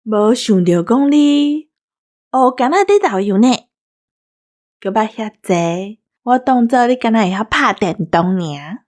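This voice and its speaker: A Taiwanese woman hosts in a casual chat style. Her voice sits a little high, and she banters informally with her partner. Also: expressive, unhurried, a little mellow. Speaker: co-host.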